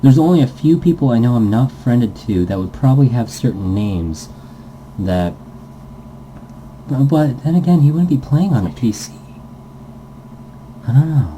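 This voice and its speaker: Very mellow, dark-voiced man, noisy recording. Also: mid-range voice, big pitch swings, moderate pace, long pauses. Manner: rambling, easily sidetracked. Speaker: let's player reading a visual novel aloud. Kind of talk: casual gaming commentary, reading game text aloud.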